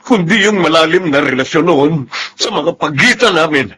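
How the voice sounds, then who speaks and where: A man's voice: fairly high pitch, expressive, moderate pace, bright, forceful tone, slightly rough, heavily acted. An actor playing a proud, bitter elderly father in a radio drama, brooding and full of reproach. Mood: resentful, hurt. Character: bitter, guilt-ridden elderly wheelchair-bound father, proud and stubborn. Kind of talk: Tagalog radio drama acting.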